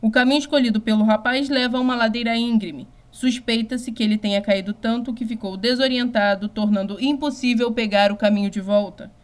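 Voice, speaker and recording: A woman's voice: fairly high pitch, fairly even intonation, a little fast, few pauses, lightly acted. Formal Brazilian newswoman acted by a woman. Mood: formal, serious. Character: composed female news reporter, formal and serious. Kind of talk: scripted news bulletin.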